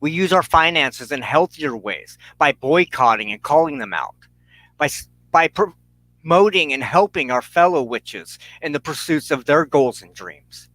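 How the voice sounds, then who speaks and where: A man hosting as a minister, delivering an impassioned scripted monologue in an emphatic, oratorical way with deliberate dramatic pauses. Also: on the high side, lively intonation, a bit bright, no echo, low-quality recording.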